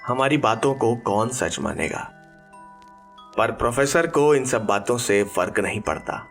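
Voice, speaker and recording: A man narrating a horror audiobook in a measured, deliberate, dramatic storytelling way over background music. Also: mid-range voice, animated, gravelly, fairly bright tone.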